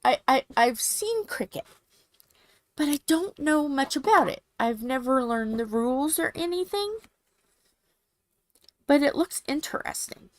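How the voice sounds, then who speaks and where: A woman in her forties with a young-sounding, soft voice, chatting casually while she crafts on a livestream. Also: high-pitched, animated, unhurried, pauses often, dry recording. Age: 40s. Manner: soft, sleepy-sounding delivery.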